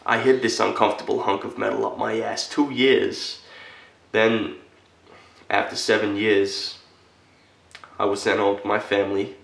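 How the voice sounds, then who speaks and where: Low, gravelly solemn war veteran acted by a man. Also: flat delivery, a little slow, long pauses, distant mic. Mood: earnest. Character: solemn military veteran, earnest storyteller addressing a little boy. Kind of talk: dramatic monologue reenactment of a film scene. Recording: echoey room.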